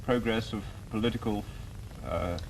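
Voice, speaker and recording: A man putting formal, deferential, roundabout questions as a press interviewer, in halting phrases. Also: on the low side, creaky. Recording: faintly noisy 1950s archival broadcast.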